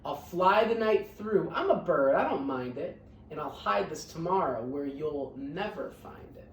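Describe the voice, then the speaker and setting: A woman's voice: sits low, animated, measured pace, a little hiss or hum, voice pushed down. A woman as a sneering eagle, echoey room. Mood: boastful. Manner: sneering, dismissive. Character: mighty scornful male eagle, boastful villain. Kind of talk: storybook read-aloud. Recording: roomy echo, distant microphone.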